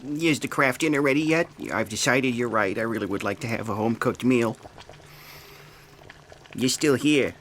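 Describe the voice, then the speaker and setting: A testy geologist and grown son, played by a man in a comic audio play. He has a mid-pitched male voice and talks in a sarcastic, pedantic way, as a disgraced scientist would. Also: normal speed, pauses often, fairly bright tone, slight background noise, natural voice. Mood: dry, explaining. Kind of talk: comic audio drama acting.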